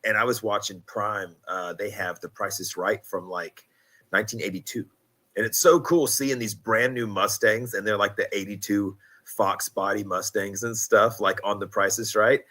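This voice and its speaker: A man in his thirties, hosting a casual podcast conversation, chatty and quick to laugh, acting out little quotes as he talks. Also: lowish voice, fairly even intonation, bright, forceful tone. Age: 30s. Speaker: podcast host.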